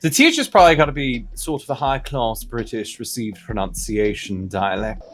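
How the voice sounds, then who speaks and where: A man's voice: medium pitch, animated, normal speed, soft background music. A man chatting and joking as a dialect coach guest. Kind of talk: casual chat on a livestream.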